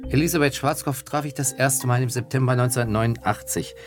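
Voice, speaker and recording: A man's voice: medium pitch, steady tone, fast. A man narrating personal reminiscences as author of a radio feature. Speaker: author and narrator, former magazine editor-in-chief. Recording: music behind the voice. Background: music.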